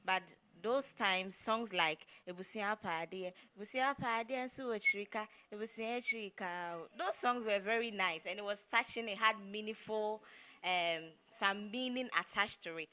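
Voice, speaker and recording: Woman, Ghanaian accent, mid pitch, bright tone, casual opinion talk. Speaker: panelist on a TV discussion show.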